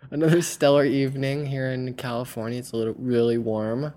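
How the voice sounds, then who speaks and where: Very deep, raspy woman hosting casually. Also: little pitch movement, moderate pace, dark tone. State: hoarse from an allergic reaction. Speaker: podcast host. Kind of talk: casual chat among friends.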